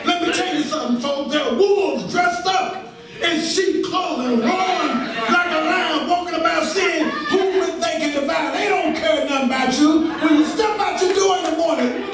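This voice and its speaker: An American man preaching a Baptist sermon as a minister, with an emphatic delivery that moves between shouting and half-singing and leans on repeated refrains. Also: very high-pitched, moderate pace, crowd noise. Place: large church sanctuary, at the pulpit. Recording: echoey church hall from far off, congregation noise.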